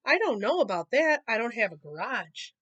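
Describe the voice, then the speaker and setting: A woman's voice: on the high side, sing-song, moderate pace, fairly bright tone, dry recording, dull audio. A woman voicing a kindly, chatty old lady bear neighbor from a children's storybook. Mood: surprised, doubtful.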